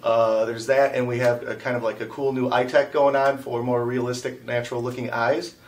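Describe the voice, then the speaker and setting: A man's voice: mid-range voice, little pitch movement, fairly quick, a little mellow. A man giving a casual interview as an animator, explaining his work informally. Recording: slightly distant microphone.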